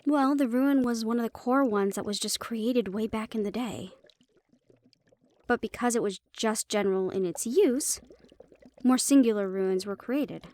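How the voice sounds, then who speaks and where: A fairly high-pitched female magic shop keeper, acted by a woman in a fantasy audio drama. She explains things helpfully and knowledgeably, with a light teasing edge. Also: a little slow, darkish tone, close to an everyday voice. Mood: explaining. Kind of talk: fantasy audio roleplay acting.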